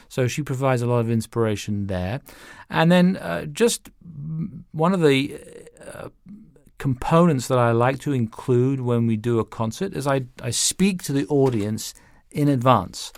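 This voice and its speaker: A man speaks as an interview guest in a conversational way, with a mid-pitched voice that has a touch of gravel. He phrases things haltingly, doubling back on words as he explains. The sound is dry and close. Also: dry recording. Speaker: music director, interview guest.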